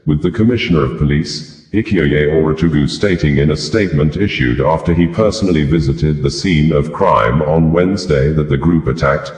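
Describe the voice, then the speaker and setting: A man with a deep voice reads the news in a roomy, echoing space. His delivery is formal and scripted, carried along in long run-on sentences. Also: normal speed, hardly any pauses, darkish tone. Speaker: news reader.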